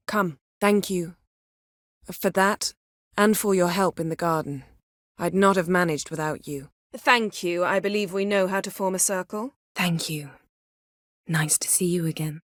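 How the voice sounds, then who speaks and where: A haughty sorceress from a fantasy game, played by a woman, speaks in a lowish voice. Her diction is formal and her manner imperious, with a dry, cutting wit. The sound is close and free of room echo. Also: natural voice. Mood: brisk, polite. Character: haughty, sharp-tongued, commanding sorceress in a fantasy world. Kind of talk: fantasy video game voice acting.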